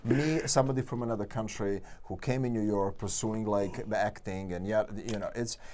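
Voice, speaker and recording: A man's voice: on the low side, brisk. A man with a Serbian accent, a guest actor chatting casually in an interview, telling stories with lots of fillers and restarts. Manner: animated storytelling, many fillers and restarts.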